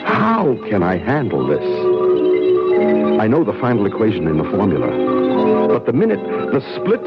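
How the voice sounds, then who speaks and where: An actor playing an earnest, principled scientist in a 1970s radio drama, hesitant at times and emphatic. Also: male voice, on the low side, wildly swooping pitch, normal speed, highs cut off, loud music behind the voice. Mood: anguished. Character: anguished, principled male scientist resisting orders.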